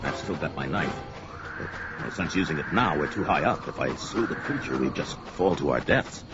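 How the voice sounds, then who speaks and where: A man's voice: very deep voice, expressive, normal speed. A man playing a brawny, blunt barbarian swordsman in a fantasy audio drama, with bold, theatrical delivery. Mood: grim, wary. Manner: forceful, declamatory, theatrical. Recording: dull lo-fi, loud music underneath. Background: music.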